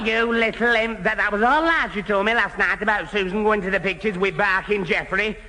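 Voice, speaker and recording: A man's voice: high voice, brisk, very noisy, close to an everyday voice. A man playing an indignant, gullible young man in a 1970 British radio sitcom, blustering threats and tripping over his words. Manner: blustering, stammering when worked up. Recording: old radio recording, echoey hall.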